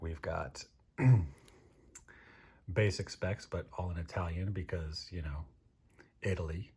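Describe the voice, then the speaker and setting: An American man from Maryland with a husky voice, casually reviewing products as host in a dry, unhurried manner. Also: low voice, darkish tone. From Maryland, United States. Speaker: host of a watch review show.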